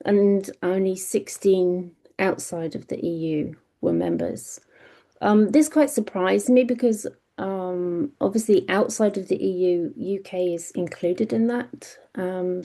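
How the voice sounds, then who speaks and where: British woman, gravelly low-toned voice, mellow, hesitant with ums, presenting results over a video call. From Britain. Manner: hesitant, frequent ums and self-corrections. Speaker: webinar panelist.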